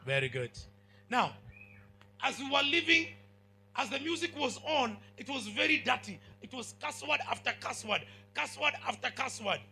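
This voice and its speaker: Kenyan male preacher, high-pitched and bright, commanding, emphatic call-and-response delivery. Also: big pitch swings, normal speed, creaky, a little hiss or hum. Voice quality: big commanding voice. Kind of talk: sermon to students.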